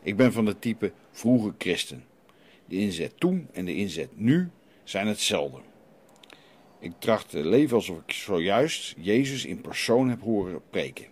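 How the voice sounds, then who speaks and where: A man's voice: lowish voice, sing-song, a little slow, a little mellow, breathy edge, exaggerated voice. A man playing a stern, defiant renegade priest in a radio play, challenging and preachy as he questions. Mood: reproachful. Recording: light background noise.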